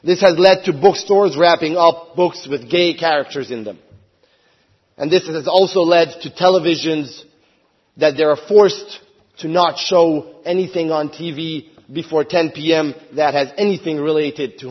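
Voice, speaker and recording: A man's voice: on the high side, moderate pace, slightly rough, muffled recording. A man from Hungary, a member of parliament, giving an earnest prepared speech at a conference and rising to emphatic appeals.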